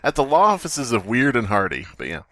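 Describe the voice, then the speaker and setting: Joking man podcaster with animated pitch. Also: brisk, dry recording, studio quality. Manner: jokey, riffing. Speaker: podcast host. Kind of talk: casual comedic podcast banter.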